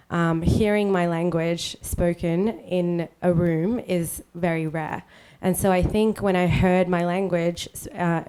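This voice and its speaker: A woman on a reading panel speaks in a smooth, clear voice that stays level and sits slightly low. She answers thoughtfully, pausing often with soft fillers. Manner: measured, hesitant, many "um"s. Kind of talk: reflective answer. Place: event hall.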